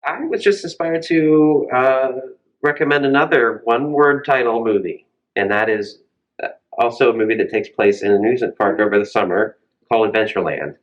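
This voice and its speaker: A man's voice: medium pitch, fairly quick, lo-fi sound. A man co-hosting a casual recommendation show, talking informally about movies with hesitant phrasing. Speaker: show co-host.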